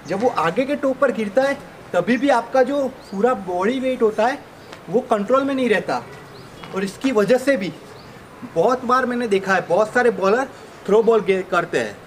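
A man's voice: high-pitched, animated, normal speed. A man, explaining technique step by step to camera as a sports coach, demonstrating as he talks. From India. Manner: demonstrating with gestures while talking, addressing viewers directly. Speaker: cricket bowling coach and video host. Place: open rooftop terrace outdoors in a city. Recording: outdoor ambient noise.

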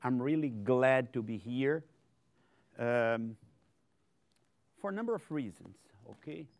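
An older Brazilian man with a Portuguese accent gives an animated lecture in a large auditorium, often tagging sentences with "okay?". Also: mid-range voice, slow pace, creaky. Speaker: guest speaker, scientist. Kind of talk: conference lecture to an audience.

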